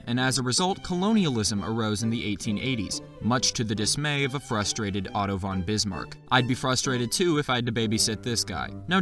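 Guy narrating a history vid, snarky little jokes, pitch jumping all over, faint music under it. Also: fairly bright tone. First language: not German. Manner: sarcastic, jokey asides. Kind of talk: scripted comedic narration. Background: faint background music.

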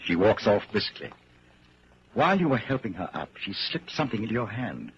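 Low-voiced, dramatic radio narrator man. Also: sing-song, fairly quick. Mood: grave, suspenseful. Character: grave narrator telling the listener his own story in second person. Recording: scratchy, muffled 1940s radio broadcast.

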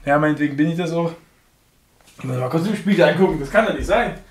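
A young man chats his way through an unboxing in German with a slight foreign lilt. His voice sits a little high, and his talk is frank and easygoing, often poking fun at himself. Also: fast talker. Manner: chatty, frank, laughs at himself. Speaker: unboxing reviewer. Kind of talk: casual unboxing commentary.

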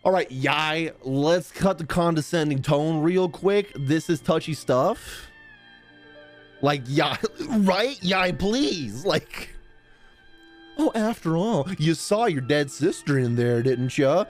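A man's voice: fairly high pitch, expressive, slow. A man in his thirties giving casual live reaction commentary on a game stream, slangy and playful. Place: indoor streaming room. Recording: game music behind the voice.

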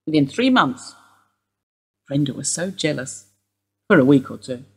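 An actress playing a sharp-tongued, bitter woman in her sixties, wry and sardonic in a radio play. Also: low-pitched, lively intonation, measured pace, slightly rough, heavily acted.

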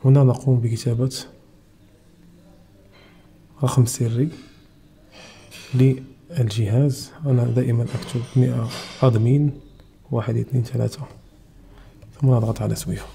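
A man's voice: medium pitch, flat delivery, normal speed, vocal fry, pauses often, mellow. A Moroccan man with a Moroccan Arabic accent, hosting a technical tutorial and explaining each step of a device setup. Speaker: channel host.

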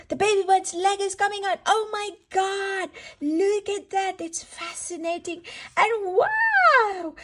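A woman reading a picture book aloud to toddlers as a storytime host, in a sing-song, exclaiming manner with simple questions for a small child. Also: sits high.

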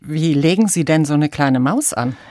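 A woman with a fairly low voice works as a radio interviewer. She introduces and questions in a composed, conversational manner. The recording is close and dry, as in a studio. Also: lively intonation, moderate pace, dark tone, dry recording.

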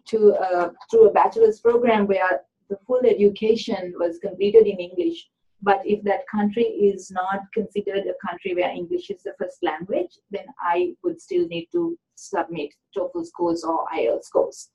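A woman at a middle pitch presents admission requirements in an online session. She explains patiently and fills her pauses with "um". The audio is muffled and lo-fi, like a webinar capture. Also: darkish tone. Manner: explanatory, with frequent "um" fillers. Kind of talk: informational webinar talk.

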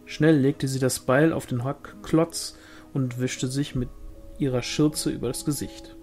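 A man's voice: mid-range voice, fairly even intonation, a little slow, darkish tone, faint music behind. A man reading a novel aloud as scripted audiobook narration. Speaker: audiobook reader.

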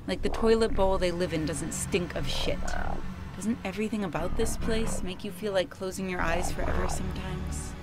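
A woman playing a blunt, cynical young woman in a visual novel game, sardonic and deadpan. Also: lowish voice, moderate pace, lightly acted. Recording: music under the voice.

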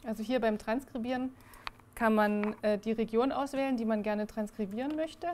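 A young woman presents her projects to a small audience in an indoor room, with a slightly high voice. She talks haltingly, with frequent fillers and restarts. The sound is picked up by a room mic at some distance. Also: steady tone, darkish tone. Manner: hesitant, many fillers and restarts. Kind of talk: presentation talk.

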